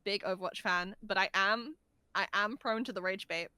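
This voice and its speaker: A woman's voice: mid-range voice, moderate pace, pushed and bright, no echo. A woman chatting casually and effusively as a podcast guest, with a giggly, talkative manner. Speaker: guest voice actor.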